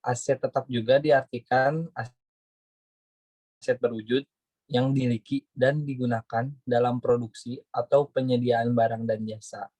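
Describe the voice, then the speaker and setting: A man's voice: mid-range voice, a little mellow, husky, no echo. A young man reading out definitions in a student group presentation. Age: young adult.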